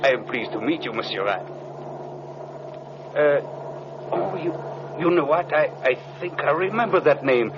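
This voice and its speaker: An actor playing a chatty, deferential French co-pilot with a French accent in an old radio drama, talking in hesitant questions. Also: male voice, on the high side, lively intonation, unhurried, long pauses. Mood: curious, uneasy. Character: chatty, eager French-speaking airline co-pilot, polite and deferential. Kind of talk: 1940s radio drama acting. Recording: dull, noisy old radio recording, engine drone.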